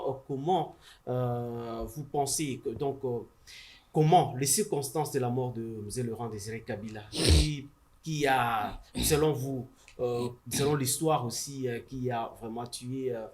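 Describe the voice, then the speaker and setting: A man hosting a talk show, asking long questions full of hesitations and backchanneling his guests. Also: mid-range voice.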